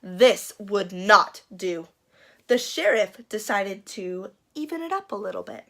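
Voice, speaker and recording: A woman reading a picture book aloud for children in an expressive, dramatic and playful way, building suspense. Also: medium pitch, a little slow. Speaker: children's storyteller.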